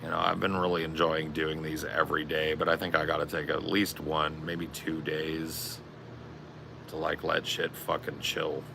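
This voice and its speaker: A man with a strained, raspy voice chatting casually on a stream, rambling and swearing a lot. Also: very deep voice, little pitch movement, normal speed, noisy background. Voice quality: gravelly. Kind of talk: casual chat.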